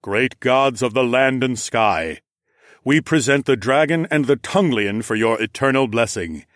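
A man's voice: medium pitch, lively intonation, measured pace, fairly bright tone, no echo, clean studio sound. A man voicing a stately, formal king in a fantasy audiobook. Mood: solemn, proclaiming. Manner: formal, solemn. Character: stately male king, ceremonial, kindly dismissive.

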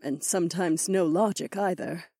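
A man's voice: high-pitched, moderate pace, warm tone, husky, dry recording, clean studio sound, pitched above the performer's own voice. A man voicing a determined, wry young woman in an audiobook, with a firm manner. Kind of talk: audiobook character voice.

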